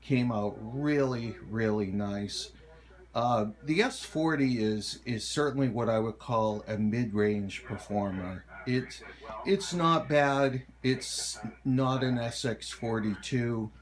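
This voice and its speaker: A middle-aged man giving a casual, halting show-and-tell as a hobbyist, unhurried and keen on the details. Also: medium pitch. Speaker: hobbyist presenter of a radio collection.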